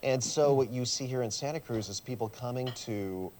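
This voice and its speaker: Earnest middle-aged pastor, easy laughter. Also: male voice, medium pitch, moderate pace, slight background noise. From USA. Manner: lively, laughs easily, earnest when explaining. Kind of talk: casual interview chat. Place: church coffee shop.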